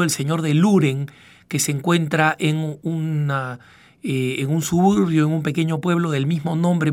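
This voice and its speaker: A middle-aged man from Peru, raised in Argentina, hosting a reflective radio commentary in a measured, halting way as he searches for words. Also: on the high side. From born in Peru, raised in northwestern Argentina. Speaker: radio program host, news agency director.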